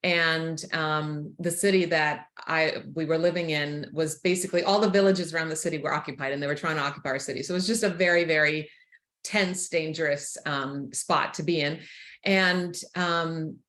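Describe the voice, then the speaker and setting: A woman's voice: sits low, fairly even intonation, normal speed. Croatian-born woman telling her story frankly. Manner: frank, laughs easily, drops to a hush on painful parts. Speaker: guest, author and speaker. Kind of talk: candid personal storytelling. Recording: roomy echo.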